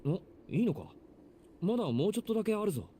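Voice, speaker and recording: A man's voice: sits high, big pitch swings, very fast, warm tone, slight background noise. An actor playing a blunt, exasperated young man in a visual novel, talking in a casual, teasing way. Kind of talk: Japanese visual novel voice acting.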